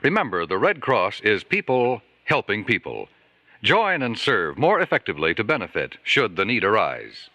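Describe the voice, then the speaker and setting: A man's voice: lowish voice, big pitch swings, normal speed, sharp tone. An American man delivering a formal, emphatic scripted appeal as a vintage radio announcer.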